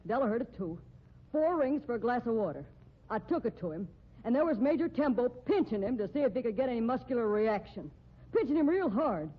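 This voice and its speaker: A woman's voice: a little high, very animated, brisk, husky. A bearded lady from a circus sideshow in a 1950s radio play. She frets and complains earnestly on behalf of others. The old recording sounds slightly dull. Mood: fretful. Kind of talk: 1950s radio drama acting.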